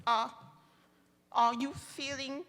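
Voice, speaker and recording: Simple working-class housekeeper, slow, bright plaintive voice, timid, flustered, radio play, echoing hall. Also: female voice, sits high, flat delivery, exaggerated voice. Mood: baffled. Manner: hesitant, deferential, plaintive. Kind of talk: live radio theatre acting. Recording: reverberant hall, live stage performance.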